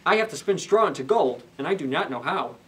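A man's voice: a little high, expressive, fairly quick, lightly acted. A man voicing a distressed, helpless young miller's daughter in a plaintive, earnest storybook reading. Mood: despairing. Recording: slightly distant camera microphone.

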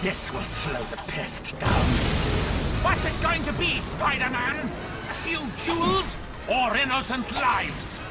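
A man's voice: very high-pitched, sing-song, takes it slowly, exaggerated voice. A man voicing a bitter, irritable old supervillain in a video game, theatrical and sneering. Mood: sneering, threatening. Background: loud game music.

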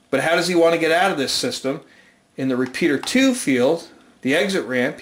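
Higher-pitched American man in a roomy space. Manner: methodical, step-by-step explaining. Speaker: amateur radio channel host, presenter. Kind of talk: informal whiteboard technical explanation. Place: small indoor room at a whiteboard. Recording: roomy, reverberant indoor sound.

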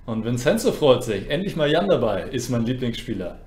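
A man's voice: mid-range voice, sing-song, fairly quick, background noise. Man streaming in an echoey room. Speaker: chess streamer and host. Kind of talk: casual livestream chat while playing chess. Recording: roomy, echoing sound.

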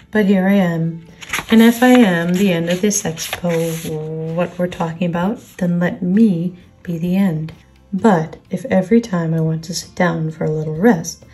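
A woman's voice: lowish voice, mellow. A woman voicing a gloomy, put-upon donkey who grumbles mournfully in a children's storybook. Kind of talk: children's storybook character reading.

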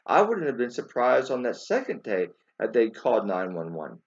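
A man in his forties talks informally to camera in a lively voice that rises and falls a lot. He speaks haltingly and doubles back on his words with emphatic emphasis. The sound is dull and lo-fi, as from a webcam. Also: mid-range voice. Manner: halting, repeats words, emphatic rhetorical questions. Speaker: video commentator. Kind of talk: informal spoken commentary to camera.

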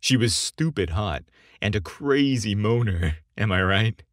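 Guy doing a flirty grown-up frat dude for an audio story, low sexy voice, lots of swing in it. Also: lively intonation, measured pace, dry recording, studio quality. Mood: amused, teasing. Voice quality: deep, sexy tone. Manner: casual bro slang. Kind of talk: audio story character dialogue.

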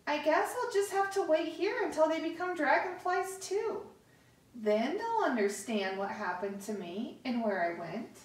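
A woman voicing an earnest male water bug leader from a children's storybook, speaking deliberately in a room. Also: high voice, animated, pitched above the performer's own voice. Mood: dismayed, resigned. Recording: echoey room, distant microphone.

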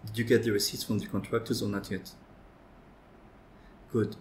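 A man's voice: lowish voice, moderate pace. A young man doing an office ASMR roleplay, talking in a businesslike way as he works at his desk. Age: young adult. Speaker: ASMR performer playing an office worker. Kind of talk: office ASMR roleplay, muttering while working through figures. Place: office desk by a large window, indoors. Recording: noisy, with constant clicking and rustling. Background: keyboard typing, clicks, steady noise.

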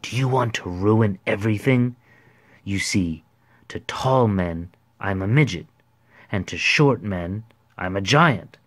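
A man voicing a proud, cheery storybook man who declares things with bright self-importance. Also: sits low, expressive, slow pace, heavily acted. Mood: impatient, explanatory. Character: proud, cheery, impatient ordinary man posing as a giant. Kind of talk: storybook character voice.

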